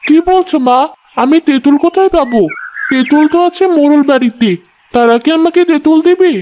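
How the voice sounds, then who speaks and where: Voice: high voice, brisk, darkish tone, slight background noise, natural voice. A performer voicing a poor, dutiful young village daughter in a cartoon story, earnest and deferential, over music. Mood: concerned. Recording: music behind the voice.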